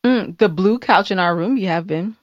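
A woman's voice: mid-range voice, normal speed, dry recording, dull audio. A woman co-hosting a podcast in casual banter, with a playful, teasing way of talking. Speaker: podcast co-host.

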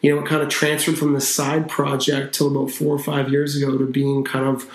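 A man in his thirties from Canada, a company founder talking about his life in a casual podcast interview, relaxed and chatty with lots of fillers. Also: medium pitch, a little fast. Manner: relaxed, talkative, with frequent fillers and restarts. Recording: roomy echo.

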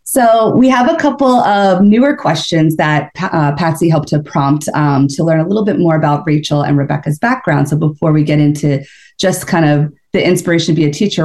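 A woman hosting an interview podcast, reading a scripted intro and then gently prompting her guests with questions. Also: sits low. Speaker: podcast host.

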